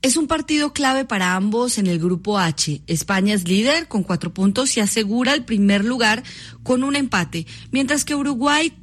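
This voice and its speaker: A woman sports correspondent giving a brisk, informative radio news report. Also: fairly high pitch, animated.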